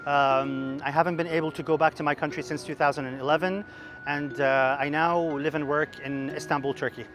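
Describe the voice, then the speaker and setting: A middle-aged man from Syria, an executive editor speaking to camera in an interview, pausing often with fillers and restarts. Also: a little high, steady tone, over music. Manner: hesitant, many fillers and restarts. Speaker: executive editor of a media outlet.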